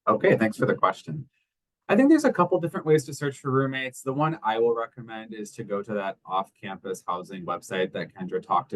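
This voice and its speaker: A man's voice: on the low side, rough recording. A man on a webinar panel, answering a student question in a plain, practical way. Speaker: housing staff panelist.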